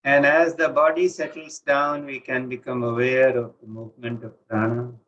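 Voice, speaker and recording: Man, Indian accent, meditation teacher, calm deliberate phrasing, frequent pauses, mid-pitched voice, muffled lo-fi online recording. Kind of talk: guided meditation instruction. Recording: dull, lo-fi online session audio.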